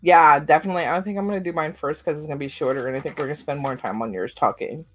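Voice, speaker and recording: A woman's voice: deep voice, racing. A young woman co-hosting a podcast, chatting casually, rambling with lots of fillers and giggles. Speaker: podcast co-host. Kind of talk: casual chat.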